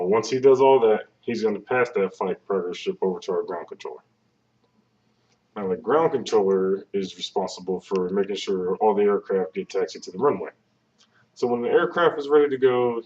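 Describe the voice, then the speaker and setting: A man with a deep, relaxed voice gives an informative talk in plain, conversational language. He explains things step by step. The webcam microphone sounds some distance away from him. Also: muffled recording. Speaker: air traffic control presenter.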